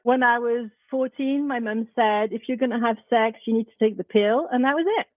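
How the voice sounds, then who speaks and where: A woman's voice: fairly high pitch, fairly even intonation, a little fast, dry recording. A woman whose first language is not English, a parent guest telling her own story emphatically in a podcast discussion. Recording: tinny phone-like line.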